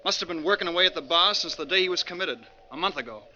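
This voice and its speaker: A stern American prison-style guard, clipped and official, with a very forceful, sharp voice a little on the high side. It sounds like 1940s radio drama on a muffled recording. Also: male voice, fast, a little hiss or hum. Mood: businesslike. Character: stern, urgent male asylum guard with a rifle.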